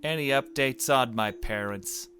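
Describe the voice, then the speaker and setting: A man's voice: medium pitch, a little slow, fairly bright tone, soft background music, natural voice. A man reading the part of a chatty, morbid young girl who narrates a visual novel. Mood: uneasy.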